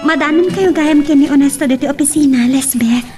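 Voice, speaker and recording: A reserved, wounded young Ilocano sweetheart, played by a woman in an audio drama. She speaks in a high-pitched voice. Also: fairly even intonation, a little fast, over music, close to an everyday voice. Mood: subdued. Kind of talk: amateur audio drama acting.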